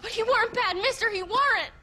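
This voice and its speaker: A very high, bright little voice, a frightened country girl who has lost her family, sobbing and rambling on in plain rural talk. A light hiss of ambience sits under it. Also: fast, heavily acted. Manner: tearful, rambling, rural folksy speech. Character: frightened young girl, orphaned by the undead, rambling. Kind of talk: video game cutscene acting.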